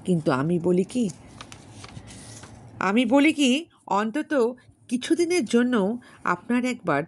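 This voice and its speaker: A woman voicing a brash, insistent young gentleman in an audiobook story, repeating his points with emphasis. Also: on the high side, big pitch swings, takes it slowly, voice pushed up, exaggerated voice. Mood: insistent, scornful. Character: brash, pushy, cocksure young gentleman suitor. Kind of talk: dramatised literary audiobook dialogue.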